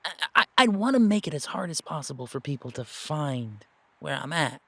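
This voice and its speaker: A man's voice: a little high, big pitch swings, moderate pace, creaky, no room sound, heavily acted. A man playing an anxious young gamer who doubts himself, hesitant and stammering, in an amateur audio roleplay. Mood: tentative reasoning. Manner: hesitant, stammering, thinking aloud. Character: anxious, self-doubting young male gamer, earnest, reluctant tracker.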